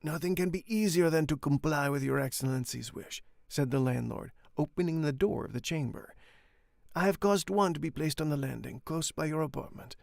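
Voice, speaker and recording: A man's voice: on the high side, normal speed, gravelly, a little mellow, dry recording, clean studio sound. A man voicing a fawning, self-satisfied old Italian innkeeper with a put-on Italian accent and a formal, obsequious manner. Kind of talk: audiobook character reading.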